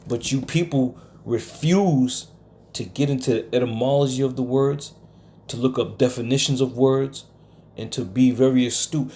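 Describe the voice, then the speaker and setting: A man's voice: medium pitch, warm tone. A man teaching scripture in a combative, scolding way, often checking in with "okay?" and using some coarse language. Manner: combative, scolds listeners, keeps asking "okay?". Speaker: Bible teacher. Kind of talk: home Bible study lecture.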